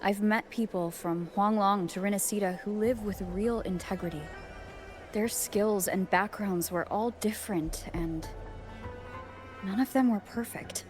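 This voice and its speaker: A woman voicing a sincere, thoughtful young heroine in a video game, speaking in a gentle, reflective way. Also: mid-range voice, unhurried, natural voice. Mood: earnest, encouraging. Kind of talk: earnest game dialogue. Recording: music behind the voice. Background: background music.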